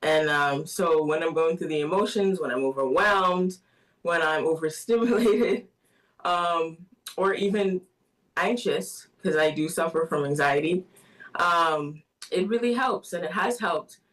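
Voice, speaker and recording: A soft-spoken woman answers a radio interviewer, her speech full of little fillers and light laughs. Her voice sits a little away from the microphone. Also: low voice, measured pace. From Bahamas. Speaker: guest artist. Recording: voice somewhat distant from the mic.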